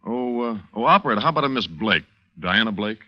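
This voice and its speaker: Actor as a suave radio thriller leading man, low-voiced, muffled. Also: expressive, fast talker, no echo, close to an everyday voice. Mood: urgent, intent. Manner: wry, flippant, polished. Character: urbane, smitten man narrating his own thriller story. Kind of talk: 1940s radio acting. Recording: muffled vintage radio drama with music.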